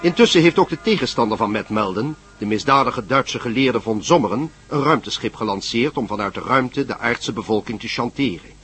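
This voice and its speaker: A man narrates the opening recap of a vintage radio play, measured and dramatic. His voice sits in an ordinary middle pitch. Faint music plays behind him. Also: expressive, a bit bright.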